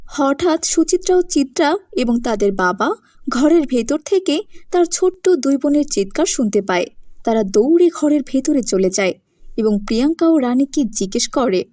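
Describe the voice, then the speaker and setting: A woman's voice: high-pitched, animated, moderate pace, warm tone, dry recording, studio quality. A woman narrating a scripted cartoon story as a storyteller.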